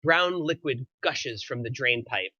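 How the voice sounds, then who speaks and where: A man's voice: mid-range voice, animated, unhurried, pushed and bright, no room sound, lo-fi sound. A man narrating the stage directions of a screenplay at a table read, in scripted present-tense style.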